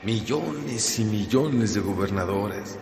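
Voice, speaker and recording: A dreamy man speaks from deep inside a memory, as an actor plays him on radio. His voice is very low and slow, wrapped in a long, ringing echo. A steady noise sits underneath. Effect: echo effect. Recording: echoey, reverberant and noisy.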